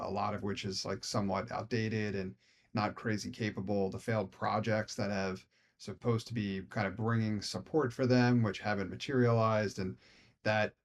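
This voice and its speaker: A man with an American accent and a fairly low voice co-hosts a podcast. He talks casually and meanders through his points, hedging as he goes. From Philadelphia, United States. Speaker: podcast co-host.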